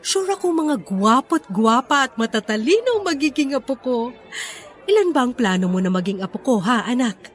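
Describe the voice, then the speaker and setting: A woman's voice: a little high, lively intonation, moderate pace, close to an everyday voice. An actress playing a proud, affectionate older mother with a Filipino accent in a radio drama. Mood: tender, teasing.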